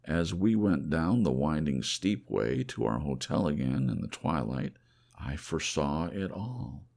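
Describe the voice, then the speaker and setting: An extremely low-pitched man narrates a literary audiobook. His voice has a heavy creak, and his delivery is measured and expressive, suited to ASMR storytelling.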